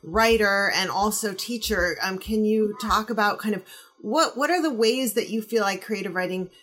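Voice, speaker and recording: A woman hosting a casual interview, asking friendly, measured questions. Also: medium pitch, fairly bright tone, low-quality recording. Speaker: podcast host.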